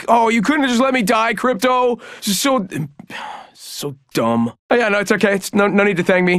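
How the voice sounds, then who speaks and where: An actor playing a cocky, joking trickster in a video game, flippant and stammering, teasing theatrically. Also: male voice, high-pitched, a bit bright, no room sound, studio quality. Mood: embarrassed, then smug. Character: cocky, joking young male trickster fighter. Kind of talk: video game character voice lines.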